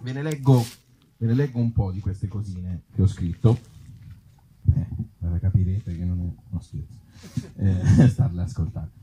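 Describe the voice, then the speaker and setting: A man's voice: low-pitched, normal speed, dark tone, a little hiss or hum, low-quality recording. A man talking informally on stage as an author presenting his work, wry and self-deprecating. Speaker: author and actor presenting his own book. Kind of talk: informal live stage talk. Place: outdoor festival in an olive grove.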